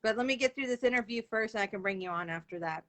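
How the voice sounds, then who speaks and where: A woman's voice: medium pitch, very fast, a bit bright. A woman hosting an author interview livestream, chatting casually and informally with viewers as she goes.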